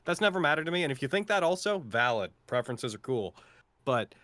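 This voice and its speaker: A man who works as a voice actor, talking casually and reflectively in a talkative, expressive way. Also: mid-range voice, quick pace, no room sound. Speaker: voice actor in an audio drama. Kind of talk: casual reflective cast chat.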